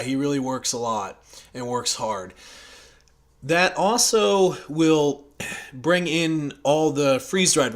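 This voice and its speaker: An American man talking casually and unscripted as a channel host, in a rambling, conversational way with hesitant pauses. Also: medium pitch, a bit bright. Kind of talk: casual unscripted audio log.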